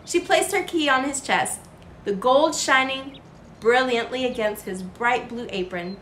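A young woman narrates a children's picture book with very animated intonation. Her voice sits fairly high. The sound is roomy, with faint noise behind her. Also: measured pace. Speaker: children's storytime host. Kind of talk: expressive picture-book read-aloud.

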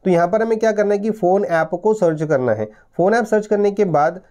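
Mellow-voiced man giving a step-by-step tutorial. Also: high-pitched, brisk. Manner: repetitive step-by-step explaining. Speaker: tech tutorial YouTuber. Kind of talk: explanatory tutorial talk to viewers.

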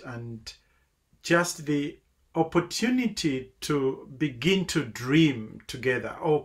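A middle-aged man from Zambia giving earnest, measured closing remarks on a webinar video call from a home office. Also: mid-range voice, fairly bright tone. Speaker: webinar speaker.

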